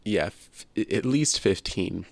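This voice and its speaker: A man with a creaky voice co-hosting a casual video game podcast, chatting conversationally. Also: animated, takes it slowly, dry recording. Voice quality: low creaky voice. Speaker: podcast co-host. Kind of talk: casual podcast chat about video games.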